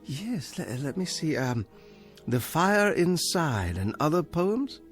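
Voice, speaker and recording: A man's voice: a little high, big pitch swings, slow pace, soft background music. An actor playing a courteous, hesitant older male librarian, flustered and stammering, in an audio drama. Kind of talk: full-cast audio drama acting.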